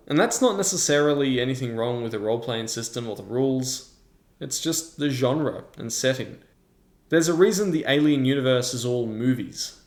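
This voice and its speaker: A man presenting a scripted review as the host of a video. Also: medium pitch. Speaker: tabletop game reviewer and host. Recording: roomy, echoing sound.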